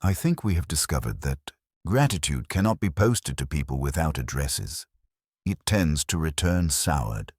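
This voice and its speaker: Man playing a very deep, gentle police inspector. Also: sing-song, husky, dry recording, studio quality, full character voice. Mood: wry, reflective. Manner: courteous, wry, deliberate. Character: gentle, thoughtful, tactful male police inspector.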